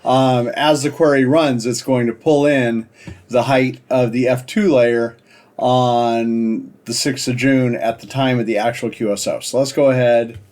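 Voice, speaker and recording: A man presenting a casual screen-share software demo in an informal, halting, explanatory way. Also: medium pitch, fairly even intonation. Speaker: presenter demonstrating a software project.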